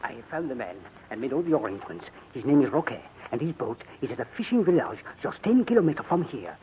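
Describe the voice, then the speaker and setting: An actor playing a bitter, cautious, world-weary old French uncle with a French accent in a 1940s radio drama. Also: male voice, mid-range voice, sing-song, fast, natural voice. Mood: quiet, businesslike. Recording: muffled, noisy old radio recording.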